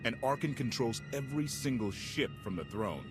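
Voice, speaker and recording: An actor playing an easygoing, enthusiastic starship pilot in a video game, talking casually. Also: male voice, medium pitch, expressive, moderate pace, over music, close to an everyday voice. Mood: animated, casual. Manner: casual, conversational. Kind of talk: naturalistic video game acting.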